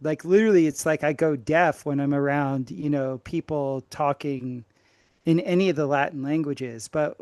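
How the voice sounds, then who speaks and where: A man speaking casually as a podcast guest, animated and emphatic, often restarting his sentences. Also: on the high side, normal speed, no room sound. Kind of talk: casual chat.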